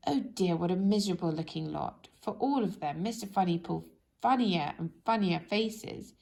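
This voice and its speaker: A woman reading a children's story aloud in an expressive, animated way, speaking directly to young listeners. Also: on the low side, moderate pace, creaky. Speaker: storybook reader.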